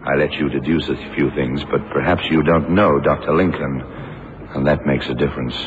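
An actor playing a grave, shrewd blind detective in a 1970s radio drama, speaking in a deliberate, terse and dramatic way. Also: male voice, rumbling low, steady tone. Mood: somber, knowing. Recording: muffled old radio recording, clear noise.

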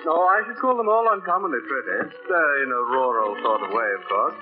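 An actor playing a haughty, aloof English gentleman, dry and formal, in 1940s radio drama style. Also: mid-range voice, animated, fairly quick, lightly acted. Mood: disdainful. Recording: narrow vintage radio broadcast with music.

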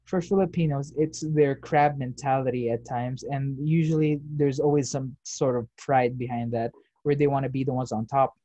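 Laid-back guy, dark husky voice. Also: medium pitch, steady tone, moderate pace, no echo, highs cut off. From United States. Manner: rambling, frequent fillers and swearing. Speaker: podcast host. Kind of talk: casual chat.

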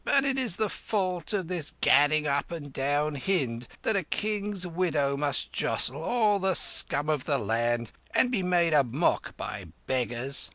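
A haughty old queen with a sharp, scolding tongue, as a man puts her on. The voice sits high and swings widely, with a bright, forceful edge. It is recorded dry and close. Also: animated, slow, few pauses, heavily acted. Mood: indignant. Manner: imperious, scolding, cackling.

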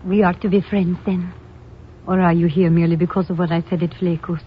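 A woman's voice: fairly low pitch, level tone, brisk, lightly acted. An actress playing a cool, guarded woman of intrigue in a 1950s radio drama. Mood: guarded, inviting. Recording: dull, noisy old radio recording.

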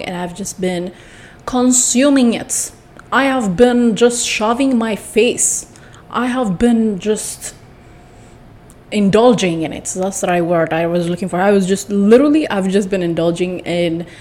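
A woman chatting casually and effusively to her followers, rambling with drawn-out emphatic words, her throat congested and cracking a little. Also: mid-range voice, darkish tone, slight background noise. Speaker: vlogger addressing followers.

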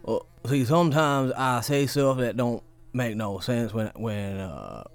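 A man playing a frightened, evasive shrimp boat worker, halting and stammering, in a radio drama. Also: mid-range voice, lively intonation. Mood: halting, cowed.

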